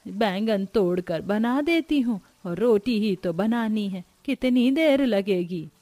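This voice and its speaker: A woman's voice: medium pitch, expressive, takes it slowly, dark tone, no room sound. A woman voicing a humble, weary old grandmother in her seventies, plaintive and folksy, in a cartoon story. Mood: grumbling, tired. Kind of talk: Hindi cartoon story voice acting.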